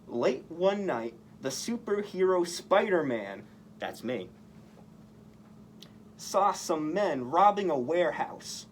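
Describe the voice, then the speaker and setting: A man reads a children's story aloud in a highly animated way. His voice is on the higher side and moves widely in pitch, with some noise in the background. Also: slow pace. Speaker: costumed story-time reader in superhero persona.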